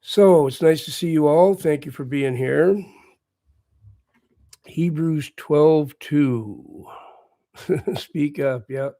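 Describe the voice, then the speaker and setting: A man with a hoarse voice from a recent bout of laryngitis, hosting a casual livestream and chatting quietly and encouragingly with his viewers. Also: fairly high pitch, drawn-out words, pauses often, no room sound. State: hoarse, recovering lost voice. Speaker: livestream host. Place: at home with morning coffee.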